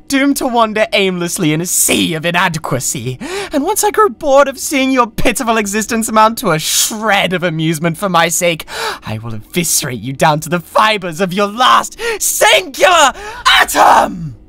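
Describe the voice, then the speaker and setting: A man's voice: very high-pitched, gravelly, sharp tone, heavily acted. A British man playing a grandiose, volatile mad scientist who plays god, theatrical and contemptuous, in a scripted ASMR audio roleplay.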